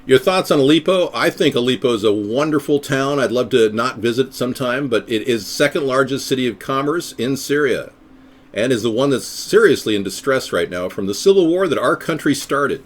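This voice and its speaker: Animated, sardonic man rambling on a live stream. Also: medium pitch, fairly quick. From United States. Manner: rambling, sardonic, slips into silly voices. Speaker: third-party candidate hosting a live stream.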